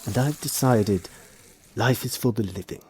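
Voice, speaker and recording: An actor playing a suave, sinister Victorian gentleman in an audio drama, with formal, courtly phrasing. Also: medium pitch, measured pace, a little hiss or hum, close to an everyday voice. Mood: smooth, flirtatious. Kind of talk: theatrical period audio drama acting.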